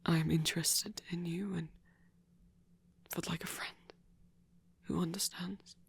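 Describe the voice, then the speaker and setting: A man voicing a flirty, courteous vampire in an intimate ASMR roleplay, speaking in a breathy whisper with slow, formal, deliberate phrasing. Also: on the high side, fairly even intonation, exaggerated voice. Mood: earnest, flirtatious. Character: flirty courteous male vampire, softly seductive.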